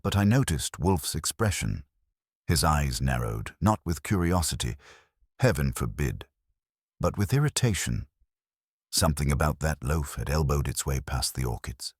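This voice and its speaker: A man's voice: extremely low pitch, sing-song, normal speed, husky, no room sound, clean studio sound. A man narrating a first-person detective story in a wry, hard-boiled manner. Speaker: audiobook narrator.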